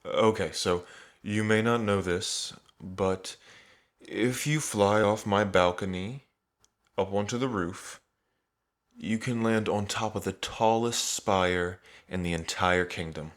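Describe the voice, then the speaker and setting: A man's voice: fairly low pitch, slow pace. A man playing a cocky yet tender young dragon king in his twenties, speaking casually and hesitantly to the listener in an intimate ASMR roleplay. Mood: coaxing, reassuring. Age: 20s. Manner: casual, hesitant, trailing phrasing.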